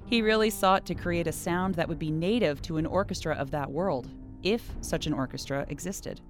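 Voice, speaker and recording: Lower-voiced woman narrating, music faint behind. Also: moderate pace. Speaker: interviewer and narrator. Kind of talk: scripted narration.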